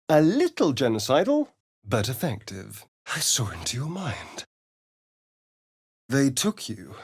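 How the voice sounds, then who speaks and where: Sly vampire rogue, video game companion, theatrical drawl, very animated pitch, sardonic, vain, dry studio sound. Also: male voice, slightly rough, no echo. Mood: wry amusement, then pointed, intimate.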